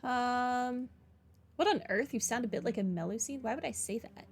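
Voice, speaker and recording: A woman's voice: a little high, normal speed. A woman doing let's play commentary and reading game lines aloud in a dry, joking manner.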